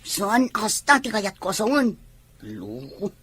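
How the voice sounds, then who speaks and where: A woman's voice: medium pitch, huge pitch swings, slow, a bit bright, a little hiss or hum, exaggerated voice. A Filipino actress playing a brash, scheming young tomboy woman in a radio soap opera. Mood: teasing, brash.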